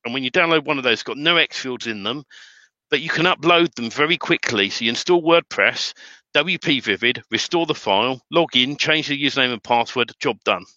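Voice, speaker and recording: A middle-aged British man with a very bright, forceful voice, chatty and prone to repeating words as he gets going. The audio is dry and slightly dull, lo-fi. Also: mid-range voice, animated, fairly quick, no room sound. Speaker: webinar guest, template trainer. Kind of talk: casual chat.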